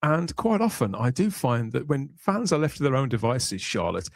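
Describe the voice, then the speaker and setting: A man's voice: medium pitch, very animated, normal speed, no echo. A man hosting a casual panel podcast, chatting in wordy, rambling phrases as he presents and introduces guests. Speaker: podcast host.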